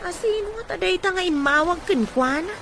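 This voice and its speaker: A woman's voice: very high voice, lively intonation, brisk, fairly bright tone, heavily acted. A woman playing a kind, concerned caregiver in an Ilocano radio comedy-drama. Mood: concerned, respectful. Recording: noisy radio drama mix.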